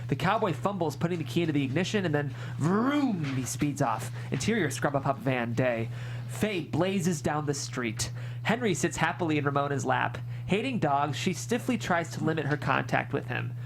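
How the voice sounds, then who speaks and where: A man's voice: on the high side, expressive, normal speed, a little hiss or hum. A man reading stage directions as scripted narration at a screenplay table read.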